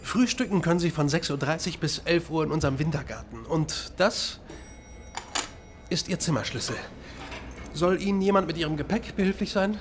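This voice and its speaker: A man's voice: a little high, normal speed, natural voice. Actor as wry hotel-desk man, noisy background. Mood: polite, formal. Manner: polite, wry, matter-of-fact. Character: wry male undercover officer posing as hotel receptionist. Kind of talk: naturalistic German crime radio drama acting. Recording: clear background noise.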